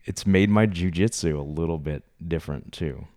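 Man, extremely low voice, rambling, casual podcast talk. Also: normal speed, a little mellow. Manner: rambling, trailing off, many fillers. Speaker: podcast co-host.

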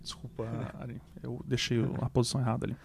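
A man's voice: mid-range voice, flat delivery, fast, creaky, noisy background. A man co-hosting a podcast, chatting casually in a laid-back, slangy way. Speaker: podcast co-host.

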